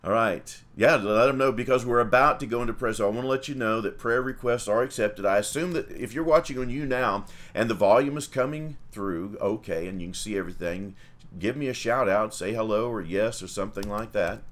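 Man, priest, low-pitched, folksy, chatty livestream prayer. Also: brisk, fairly bright tone. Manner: folksy, chatty, greets viewers by name. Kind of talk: livestreamed morning prayer and viewer chat.

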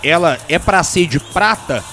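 Man, Minas Gerais accent, podcast co-host, high-pitched, easygoing explainer, faint music underneath. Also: animated, unhurried, pushed and bright. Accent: Minas Gerais Portuguese. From Brazil. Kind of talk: casual podcast chat.

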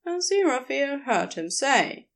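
A woman narrating a written story in an expressive, unhurried storytelling manner. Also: high voice, vocal fry. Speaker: podfic narrator.

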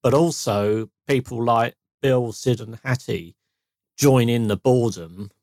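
A man co-hosting a podcast, reviewing an old radio comedy in a measured, explanatory way. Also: mid-range voice, a little mellow, dry recording, studio quality. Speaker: podcast co-host. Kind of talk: casual review chat.

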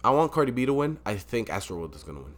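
A young man co-hosting a podcast, teasing and arguing loudly about sports in casual chat. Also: mid-range voice, racing, a little hiss or hum. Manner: teasing, argumentative, gets loud and excited arguing sports. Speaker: podcast co-host.

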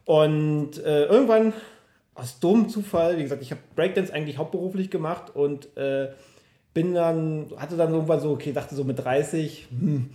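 A man in his thirties speaks as a guest in a casual conversation. His voice sits a little higher than most men's. He tells stories informally, and the room echoes noticeably. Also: normal speed, mellow. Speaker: podcast guest. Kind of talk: casual chat, personal storytelling. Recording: roomy, echoing room.

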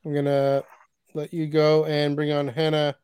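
A man's voice: fairly high pitch, completely flat delivery, normal speed, a little mellow, smooth, no echo. A man from Canada co-hosting a podcast, talking enthusiastically and restarting his sentences often. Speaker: podcast co-host. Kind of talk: casual podcast chat.

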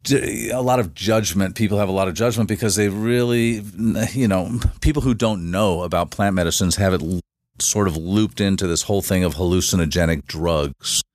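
A man with a very low voice talks as a guest on a podcast. He explains at length in a halting way, full of restarts and fillers. The sound is dry, with no room echo. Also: a little mellow. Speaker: guest, shamanic facilitator. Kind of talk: casual podcast interview.

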